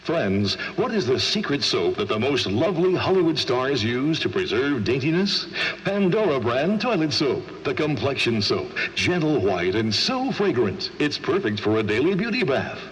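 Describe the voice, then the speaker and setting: A man announcing on 1940s radio, reading a soap commercial in a smooth, persuasive salesman style. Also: mid-range voice, big pitch swings, moderate pace, in a large hall, a little hiss or hum, poor audio.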